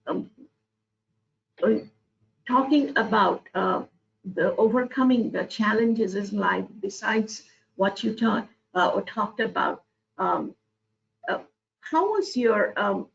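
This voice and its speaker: A woman moderating an online panel, speaking haltingly with many fillers. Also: medium pitch, vocal fry. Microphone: video call. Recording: muffled video call audio.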